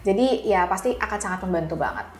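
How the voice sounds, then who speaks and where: A woman's voice: medium pitch, expressive, fast talker. A woman with an Indonesian accent hosting a casual interview, speaking informally and affirmingly with English words mixed in. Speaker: podcast host interviewing a guest. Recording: echoey room.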